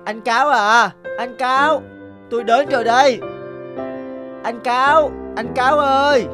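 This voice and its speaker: A man's voice: extremely high pitch, steady tone, a little slow, music in the background. A man voicing a good-natured, earnest otter in a children's cartoon. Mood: calling out. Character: honest, good-natured otter, later quietly vengeful.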